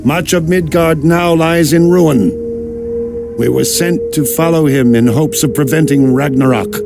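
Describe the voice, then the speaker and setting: Gravelly, solemn northern warrior. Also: male voice, a little high, measured pace. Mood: grim, earnest. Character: earnest, grim northern warrior man. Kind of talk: video game cutscene acting. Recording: music behind the voice.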